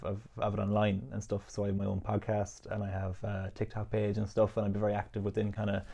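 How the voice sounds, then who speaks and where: A young man with an Irish accent, a student welfare officer answering interview questions in an animated, casual way with many fillers. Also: lowish voice, gravelly.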